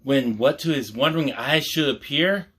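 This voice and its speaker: A man hosting storytime, reading a picture book aloud with mock-earnest asides in a measured storyteller's manner. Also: mid-range voice, a bit bright.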